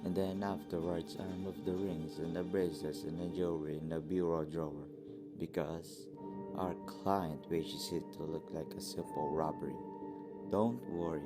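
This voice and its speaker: A man's voice: low voice, level tone, moderate pace, loud music behind the voice. A young man playing a cold-blooded hired killer in a radio play, terse, assured and matter-of-fact. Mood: coldly businesslike. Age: young adult.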